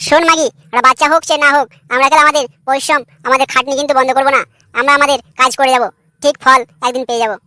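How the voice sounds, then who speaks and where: A man's voice: extremely high pitch, steady tone, rapid-fire, pushed and bright, exaggerated voice. A man voicing a crude, irritable young husband in a comedy cartoon, brash, argumentative and foul-mouthed. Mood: boastful, insistent.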